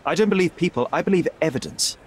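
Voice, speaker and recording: An actor playing a stern young detective in a video game, cold and righteous, speaking in a clipped, accusatory, sardonic manner. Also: male voice, on the high side, expressive, quick pace, breathy edge. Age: young adult. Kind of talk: video game cutscene acting.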